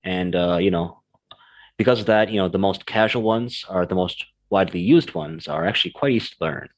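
A man's voice: deep voice, a little fast, creaky. A young American man co-hosting a language lesson podcast, chatting casually with plenty of fillers. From United States. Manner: relaxed, chatty, many fillers. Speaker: co-host.

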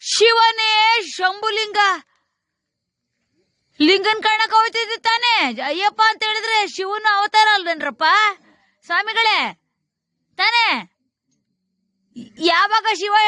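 Very high-pitched woman, rural southern Karnataka accent. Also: fairly even intonation, unhurried, long pauses, pushed and bright, no room sound, clean studio sound. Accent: Kannada, rural southern Karnataka. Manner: scolding, repetitive rhetorical questions. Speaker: vlogger. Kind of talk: casual spoken commentary and argument to people on the spot. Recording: live location with crowd noise behind. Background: crowd.

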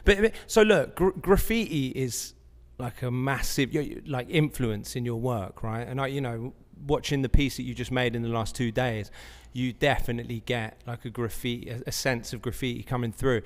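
A man's voice: medium pitch, moderate pace, hardly any pauses, long reverb tail. A man hosting a podcast interview, asking casual questions full of fillers. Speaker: podcast host. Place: large bright studio, indoors. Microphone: stand mic.

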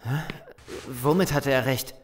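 An actor playing a sarcastic, confrontational, distrustful survivor in a German zombie audio drama. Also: female voice, a bass voice, measured pace. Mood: grim. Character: Simon, distrustful hot-headed survivor of a zombie apocalypse.

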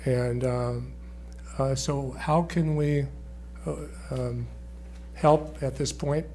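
A man's voice: mid-range voice, steady tone, warm tone, a little hiss or hum. Man presenting at a board meeting. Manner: measured, with frequent fillers and restarts. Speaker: school district administrator presenting to the board. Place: school board meeting room. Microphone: desk microphone.

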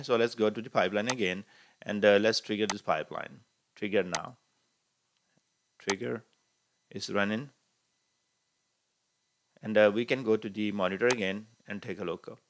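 A man teaching a screen-recorded software tutorial, talking unhurriedly with frequent "uh" fillers as he clicks through each step. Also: lowish voice, moderate pace, pauses often. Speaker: tutorial instructor. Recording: faint hiss behind voice.

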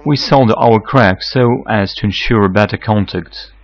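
A man in his forties giving a measured, scripted how-to explanation as a technical consultant. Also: lowish voice, lo-fi sound. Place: electronics workshop, indoors.

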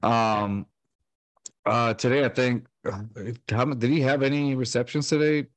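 A man's voice: mid-range voice, steady tone, measured pace, dry recording. A man in his thirties co-hosting a fan podcast over a video call, rambling with lots of fillers and restarts. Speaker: podcast co-host. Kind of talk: casual sports chat. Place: home room, video call. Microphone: studio mic on stand with headset.

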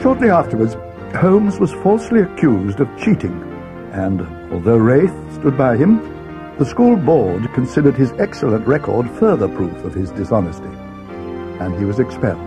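Male narrator actor in role: schoolboy sidekick recounting a mystery, expressive storybook delivery, music underneath. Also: medium pitch, normal speed, lightly acted. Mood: measured, grave.

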